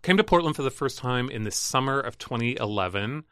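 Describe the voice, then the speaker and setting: A man from Northern Ireland with a softened Northern Irish accent, chatting casually as a podcast guest and rambling in a self-deprecating way. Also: medium pitch, animated, brisk, smooth, no echo, studio quality. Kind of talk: casual chat.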